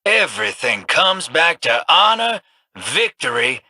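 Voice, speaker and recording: An actor playing a cocky, folksy old gunslinger rogue in a video game, talking with a drawling, wisecracking swagger. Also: male voice, a little high, sing-song, unhurried, pushed and bright, dry recording, studio quality, full character voice. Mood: wry. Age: older.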